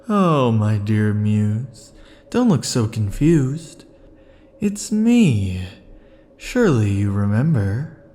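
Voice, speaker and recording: Casting: a man playing a clingy, possessive immortal male lover; hushed, sighing, unhurried delivery, dark mellow tone. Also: lowish voice, big pitch swings, heavily acted. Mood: tender possessive. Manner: soft, sighing, lingering murmur. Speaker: ASMR roleplay performer. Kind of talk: ASMR roleplay.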